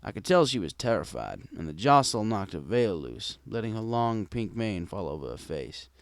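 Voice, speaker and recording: A man's voice: on the low side, big pitch swings, moderate pace, gravelly, no room sound. A man reading a first-person story in a wry, conversational storytelling manner. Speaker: fan fiction reader.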